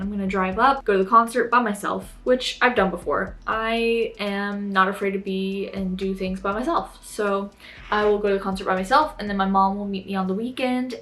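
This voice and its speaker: A woman's voice: medium pitch, bright, forceful tone. A young woman vlogging casually to camera, chatty and full of fillers, her voice strained and sore. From Florida, United States. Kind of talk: casual vlog talk to camera.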